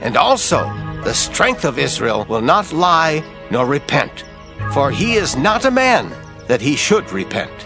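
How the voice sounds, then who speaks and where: A man's voice: a little high, very animated, measured pace, over music. A man playing a grave, authoritative Hebrew prophet in a scripture audio drama, declaiming his lines with emphasis. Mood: stern, condemning.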